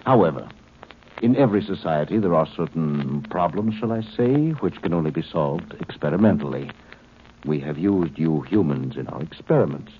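A cold alien overseer with a very low, deep voice, lecturing his captives in slow, superior tones. The sound is thin and tinny like an old broadcast, with a faint hiss beneath. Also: expressive. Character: cold calm male alien overseer, condescending. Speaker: alien captor. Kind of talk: 1950s radio drama acting.

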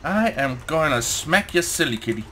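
A young man with a Danish accent, a native Danish speaker, giving casual let's play commentary, excitable, swearing and laughing at the game as he plays. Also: fairly high pitch, moderate pace, slight background noise. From Denmark.

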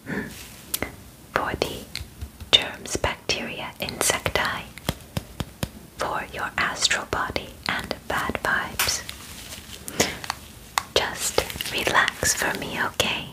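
A soothing female alien examiner, played by a woman in her twenties, whispers very close to the microphone. The delivery is slow, soft and breathy, with gentle, formal clinical phrasing in an ASMR roleplay style. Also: poor audio. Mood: calm, attentive. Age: 20s. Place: bedroom, indoors.

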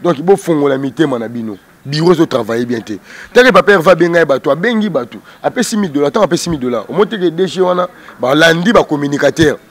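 A man's voice: fairly high pitch, lively intonation, fast talker. A man in his thirties with a Kinshasa Congolese accent, speaking combatively and emphatically in an interview, repeating words, into a handheld microphone outdoors. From Democratic Republic of the Congo. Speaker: interview guest, music group spokesman. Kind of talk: heated street interview in Lingala mixed with French. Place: outdoors on a porch.

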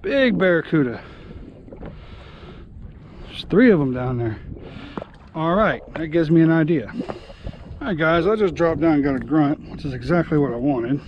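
A man's voice: a little high, expressive, moderate pace, pauses often, highs cut off. A man in his forties hosting a fishing vlog from a small open boat offshore, chatting easygoing to the viewers and to the fish he lets go. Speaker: fishing channel host. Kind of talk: casual fishing vlog narration. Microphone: action camera on the boat. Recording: action camera with wind and water noise. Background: wind and water noise.

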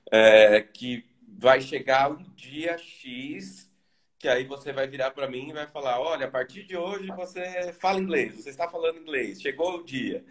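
A young man from Brazil, a former student, chatting on a video call in a relaxed, halting way with many fillers. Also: mid-range voice. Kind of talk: casual video call chat. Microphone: webcam on a video call.

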